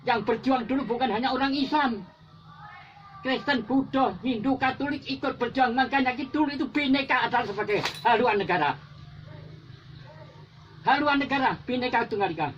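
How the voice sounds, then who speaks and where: Give me an old Javanese veteran's impassioned speech. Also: male voice, very high voice, normal speed, long pauses, fairly bright tone. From Java. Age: older. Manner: preaching, repetitive exhortation, rising to emphatic peaks. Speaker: independence war veteran. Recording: dull lo-fi recording with faint music.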